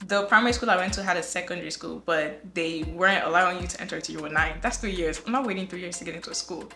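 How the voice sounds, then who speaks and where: A young Nigerian woman with a Nigerian accent, a guest telling stories in a playful, expressive way. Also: lowish voice, racing, echoey room, faint music behind. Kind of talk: casual chat.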